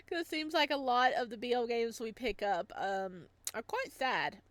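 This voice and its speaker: Expressive higher-voiced woman chatting over a game. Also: unhurried, no echo. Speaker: gaming channel host. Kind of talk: casual playthrough commentary, reading visual novel aloud.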